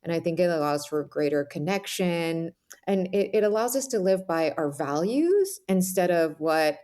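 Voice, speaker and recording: An American woman with a slightly low voice talks as an interview guest. She explains things earnestly and reflectively, often checking in with the listener as she goes. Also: dark tone. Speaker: podcast guest, author.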